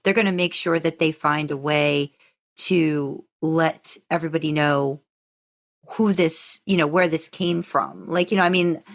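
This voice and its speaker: A woman's voice: low voice, steady tone, a little slow. A woman in casual podcast conversation, explaining things in a tentative, circling way. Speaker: podcast participant. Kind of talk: casual chat.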